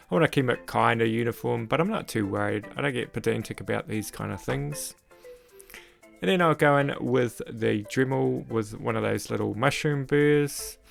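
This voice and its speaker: A man with a croaky, gravelly voice narrates a woodcarving tutorial as a voice-over. He talks in a relaxed, rambling way, full of "sort of, like", at a middling pitch. Faint music plays underneath. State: croaky voice after illness. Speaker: woodcarving channel host.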